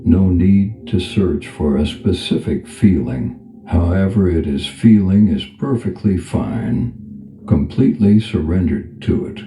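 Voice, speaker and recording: A man leading a guided meditation as a calm spiritual teacher, speaking in a gentle, unhurried, instructional manner. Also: sits low. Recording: echoey reverb over background music. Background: music.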